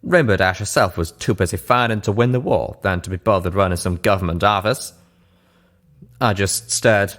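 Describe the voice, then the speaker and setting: Casting: male audiobook narrator, very low-pitched, scripted first-person storytelling. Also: moderate pace, fairly bright tone.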